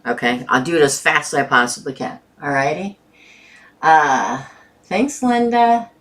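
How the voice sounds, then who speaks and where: Woman, tarot reader, sore throat, low-pitched, bright tone, chatty live-stream host. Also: expressive, measured pace. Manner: chatty, addresses viewers by name. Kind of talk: casual live-stream chat.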